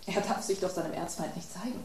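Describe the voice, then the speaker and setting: A woman's voice: lowish voice, quick pace, a little mellow, heavy reverb, distant mic, slight background noise, natural voice. An actress voicing a female mineral water that reports the latest news, matter-of-fact, in a radio play. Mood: reporting.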